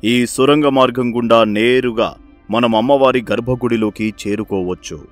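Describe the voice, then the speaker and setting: A man voicing a greedy, scheming village-head father who commands his son in a sly, domineering way, for an animated story. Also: medium pitch, normal speed, faint music behind, natural voice.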